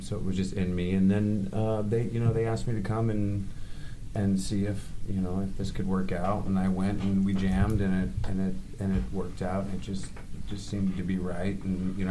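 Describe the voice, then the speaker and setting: A man's voice: low voice, dark tone, slight background noise, low-quality recording. A man who sings and plays guitar in a rock band, talking casually in a studio interview, often restarting his sentences and swearing freely. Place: radio studio.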